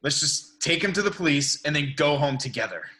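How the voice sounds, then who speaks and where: A man acting a stern but earnest masked vigilante in a superhero table read, speaking deliberately. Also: on the high side, lively intonation, pushed and bright. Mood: pleading. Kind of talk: amateur table read of a superhero film script.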